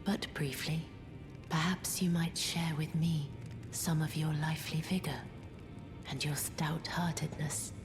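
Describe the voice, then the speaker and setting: Melancholy, gentle maiden for a fantasy game: near-whisper, very unhurried, low and courteous. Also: female voice, steady tone, over music. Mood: tender, hushed. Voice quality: soft, breathy near-whisper. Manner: slow, hushed, pleading courtesy. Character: gentle, sorrowful female deathbed companion, courtly and intimate. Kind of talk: video game voice acting.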